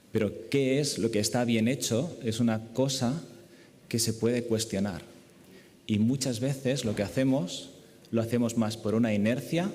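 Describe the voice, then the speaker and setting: Mellow Spanish man lecturing in reverberant hall. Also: on the low side, a little hiss or hum. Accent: Spanish from Spain. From Spain. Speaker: orthopaedic surgeon, conference speaker. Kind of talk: medical conference lecture.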